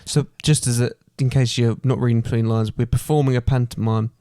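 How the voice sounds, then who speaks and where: A man's voice: medium pitch, steady tone, a little fast, mellow, no room sound, clean studio sound. A British man chatting casually and teasingly as a podcast co-host. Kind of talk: casual chat.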